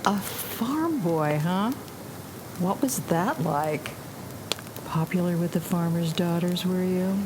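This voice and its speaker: A woman's voice: on the low side, slow pace. An actress playing a plucky, wry young woman pilot in a 1940s wartime audio drama, playful and teasing. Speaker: actor. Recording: noticeable noise behind the voice.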